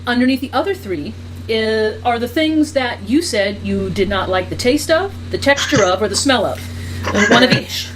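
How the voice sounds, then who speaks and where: A woman co-hosting a casual interview, chatty and quick as she sets up a game. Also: a little high, expressive, background noise. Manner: rapid, chatty, explaining a game. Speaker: podcast co-host.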